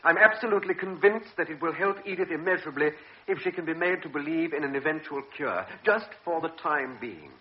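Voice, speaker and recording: A man's voice: on the high side, expressive, fairly quick, few pauses, natural voice. An actor playing an earnest young army lieutenant who pleads his case in a radio drama. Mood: pleading. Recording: dull old radio recording.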